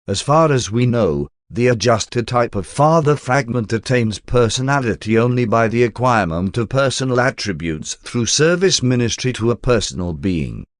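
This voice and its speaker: Guy narrating a spiritual book, solemn and formal, measured reading, mid pitch, really dry recording. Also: animated, dry recording. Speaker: audiobook narrator. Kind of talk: scripted narration of a spiritual text.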